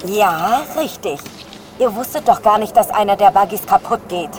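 A woman's voice: mid-range voice, normal speed, close to an everyday voice. An actress playing a determined woman scientist astronaut on Mars, indignant and insistent, in a radio drama. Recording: heavy storm noise behind.

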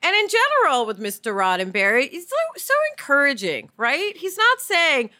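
A woman co-hosting a podcast in an informal, opinionated, animated way of chatting. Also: high-pitched, moderate pace. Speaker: podcast co-host. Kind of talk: casual podcast discussion.

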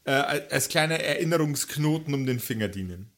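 A man improvising narration as game master of a tabletop audio game, with theatrical delivery and deliberate pauses. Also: mid-range voice, expressive, fairly bright tone. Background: background music.

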